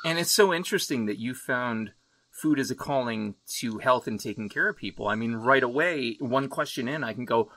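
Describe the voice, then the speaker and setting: A man's voice: mid-range voice, normal speed, fairly bright tone, no echo, rough recording. A man from the United States hosting a podcast over a remote call, talking in an exuberant, casual way and swearing freely. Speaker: podcast host. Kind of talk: casual interview chat. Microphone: remote video call.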